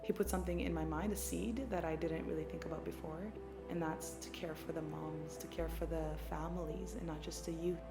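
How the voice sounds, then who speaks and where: Woman w a low voice telling her story, earnest n reflective, echoey room, music pretty loud behind her. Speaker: community mental health worker. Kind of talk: personal storytelling interview. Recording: roomy echo, loud music underneath.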